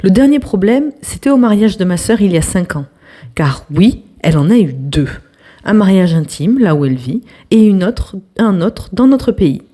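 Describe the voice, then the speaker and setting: A young woman reading an online post aloud as a storytelling host, with lively delivery, some hushed asides, and chatty comments to her viewers. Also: mid-range voice, normal speed.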